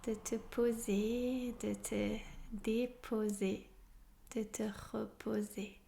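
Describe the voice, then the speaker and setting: A woman leading a guided relaxation as a yoga nidra teacher, soothing and unhurried, often in a breathy half-whisper. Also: fairly high pitch. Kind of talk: guided meditation, soft-spoken relaxation instruction.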